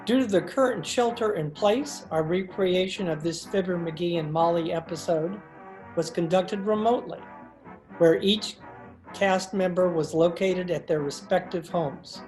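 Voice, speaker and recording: A woman with a fairly low voice reads scripted closing credits as an announcer. Faint guitar music plays behind her. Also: measured pace, a little mellow, rough recording. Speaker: announcer reading the cast credits.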